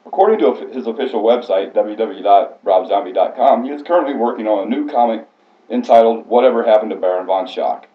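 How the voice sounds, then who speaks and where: A man reading a prepared biographical speech aloud in a formal, scripted way, stumbling now and then. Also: medium pitch. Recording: echoey room, slightly muffled sound.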